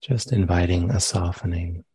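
A man leading a guided meditation as a teacher, speaking gently and unhurriedly with long pauses between phrases. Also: sits low, steady tone, husky, dry recording. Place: meditation center.

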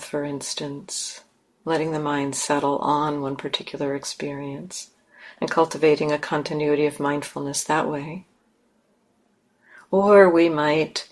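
A woman leading a guided meditation in measured, instructive phrases with long pauses. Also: deep voice. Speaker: meditation teacher.

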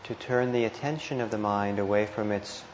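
Low-voiced man guiding meditation, roomy recording. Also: normal speed. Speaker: meditation teacher. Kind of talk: guided meditation instruction. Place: indoor meditation hall. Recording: muffled, roomy live talk with noise.

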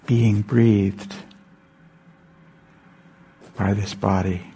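A man guides a meditation in a mellow, gravelly voice, speaking very slowly. His phrases are short and gently spoken, with long pauses between them. A faint hiss sits behind the voice. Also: on the low side, flat delivery. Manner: gentle, unhurried, repeating phrases. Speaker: meditation teacher.

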